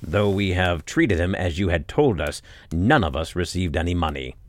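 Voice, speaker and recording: A man reading a storybook aloud and voicing a band of greedy robbers in a loud, demanding tone. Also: on the low side, sing-song, measured pace, dry recording. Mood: accusing.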